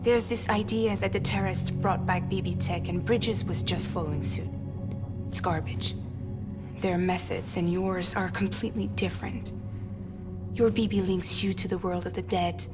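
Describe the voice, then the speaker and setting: A woman's voice: fairly low pitch, fairly even intonation, moderate pace, close to an everyday voice. An actress playing a tough, candid, tired young woman in a game cutscene, explaining frankly and emphatically over music. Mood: grave, explanatory. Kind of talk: dramatic game cutscene acting. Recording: prominent music behind the voice.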